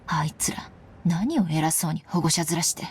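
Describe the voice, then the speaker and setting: An actress playing a defiant, sarcastic teenage heiress in a visual novel, with a scornful, dry delivery. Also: female voice, deep voice, fast talker, darkish tone, close to an everyday voice. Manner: scornful, dry, self-possessed. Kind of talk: Japanese visual novel voice acting.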